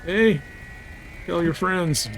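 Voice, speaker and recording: A man's voice: sits high, drawn-out words, noisy background. A man giving casual commentary as he livestreams a game. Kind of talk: casual gaming stream commentary.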